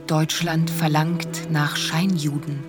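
A woman reciting scripted literary statements for a radio play, declarative and deliberately phrased. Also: low-pitched, flat delivery. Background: music.

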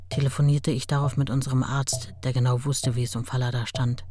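A woman's voice: extremely low pitch, mellow. Music runs under a woman playing a worn-out ex-wife in a radio play. She recounts her married life candidly, like someone giving testimony. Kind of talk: radio drama, testimony-like recollection.

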